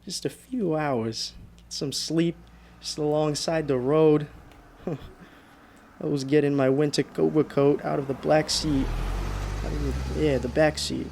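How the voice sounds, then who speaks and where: An actor playing a frightened, exhausted driver in a radio drama, halting and muttering to himself. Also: male voice, a little high, steady tone, vocal fry, a little mellow, a little hiss or hum. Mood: weary, uneasy. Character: frightened, exhausted male driver haunted by a phantom. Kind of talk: radio drama monologue and dialogue.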